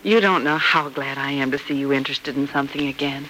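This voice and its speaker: A woman's voice: extremely low pitch, fast. An actress playing a solicitous, supportive middle-aged American mother in an old radio soap opera. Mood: tender. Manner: solicitous, expressive. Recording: noisy vintage radio drama recording.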